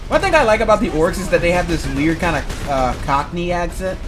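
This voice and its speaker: A man's voice: high voice, animated, a little fast. A man from Louisiana, giving casual, rambling let's play commentary. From Louisiana, United States. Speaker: gaming video host. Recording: game music clearly behind. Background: game music.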